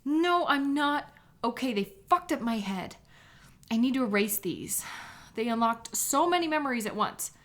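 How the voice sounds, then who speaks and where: A woman's voice: fairly high pitch, lively intonation, moderate pace, close to an everyday voice. A woman playing an anxious, agitated woman sketch artist in an emotional audio drama. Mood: indignant, upset.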